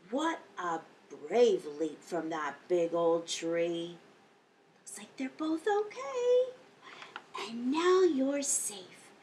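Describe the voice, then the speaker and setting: A woman in her fifties hosting a storytime for young children as a librarian, reading aloud in an exaggerated, expressive way. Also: a little high, slight background noise. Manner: animated, exaggerated, with audible gasps and hushed whispers. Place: indoor lounge, seated on a couch.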